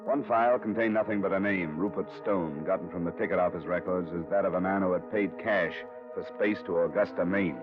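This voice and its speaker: Male actor as hard-boiled American detective, low voice, terse, cool, probing, 1950s radio drama, muffled old broadcast. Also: fairly quick, few pauses, lightly acted. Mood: terse, matter-of-fact. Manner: terse, matter-of-fact, probing. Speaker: lead actor and narrator. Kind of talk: 1950s radio detective acting, clipped noir narration. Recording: dull vintage 1950s radio broadcast, music behind.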